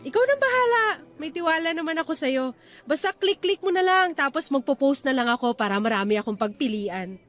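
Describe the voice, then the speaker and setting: A woman's voice: sits high, animated, brisk, a bit bright. A woman playing an outspoken, playful young single woman, an old sweetheart, in radio drama dialogue. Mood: bright, friendly. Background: music.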